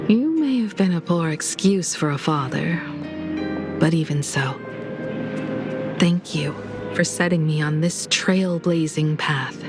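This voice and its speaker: A woman voicing a composed, melancholy and quietly resolute woman in a dramatic video game scene. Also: on the low side. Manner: measured, solemn.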